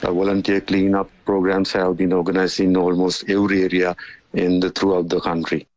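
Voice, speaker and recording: A male correspondent with a Sri Lankan accent delivers a formal, slightly halting report. His voice is deep and quite flat in intonation, and the recording is very dry. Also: dry recording, highs cut off. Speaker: news correspondent.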